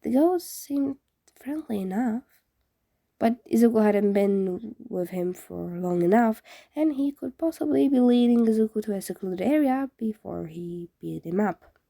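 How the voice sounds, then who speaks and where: A woman's voice: mid-range voice, vocal fry, mellow, no room sound. A woman reading fan fiction aloud as a scripted story narration.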